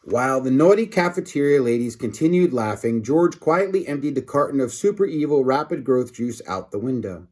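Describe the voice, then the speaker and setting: A man narrates a children's storybook aloud in a mid-pitched, very animated voice. He reads expressively and adds chatty asides to his listeners. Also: a little fast, hardly any pauses. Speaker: storybook reader.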